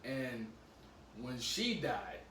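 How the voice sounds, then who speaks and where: A man's voice: mid-range voice, big pitch swings, sharp tone. Rambling young man in an echoey room. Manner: rambling, halting, many restarts. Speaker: podcast host. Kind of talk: casual chat. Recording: echoey room, mic at a distance, faint hum.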